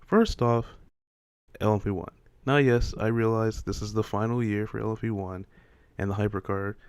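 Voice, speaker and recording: A man with a fairly low voice delivers casual, opinionated commentary as the host of a video. His manner is exasperated and full of rhetorical questions, and the sound is dry and close. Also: creaky, a little mellow, dry recording.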